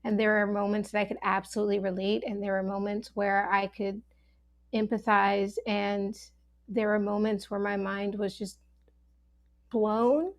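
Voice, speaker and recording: A woman giving a sincere, casual panel reflection, measured and earnest, pausing between phrases. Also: medium pitch, level tone. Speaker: actor.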